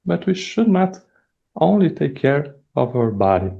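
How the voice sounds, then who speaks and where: A young man from Brazil with a Brazilian Portuguese accent, speaking English as a second language, giving a prepared icebreaker speech with measured pauses. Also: on the high side, sing-song, gravelly, phone-quality sound. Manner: measured, deliberate delivery with pauses. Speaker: first-time club speaker.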